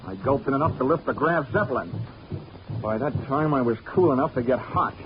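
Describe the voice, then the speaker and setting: A man's voice: mid-range voice, a little fast, background noise, natural voice. An actor playing a hardboiled insurance investigator who narrates his own case in a 1940s radio drama, with dry wisecracks. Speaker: narrator and lead. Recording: dull 1940s radio broadcast with music.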